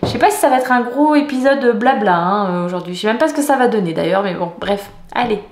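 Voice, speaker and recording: A woman's voice: mid-range voice, expressive, quick pace. Middle-aged woman, casual vlogger, self-deprecating, filler-heavy, echoey room. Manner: chatty, self-mocking, many fillers and restarts. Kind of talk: casual vlog chat. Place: home kitchen and dining room.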